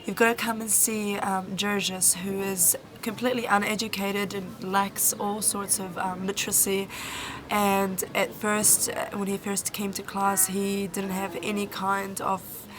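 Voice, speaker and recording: A young woman volunteer answers interview questions, earnest and halting, with frequent ums and restarts. Her voice stays fairly level in pitch at a medium height. The recording carries clear background noise throughout. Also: little pitch movement. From New Zealand. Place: Egyptian village, indoors or outdoors. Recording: noisy background.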